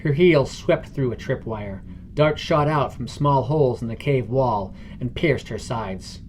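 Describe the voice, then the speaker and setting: A man's voice: mid-range voice, a little slow, a little mellow, a little hiss or hum. A man reading scripted narration for a fantasy adventure podcast.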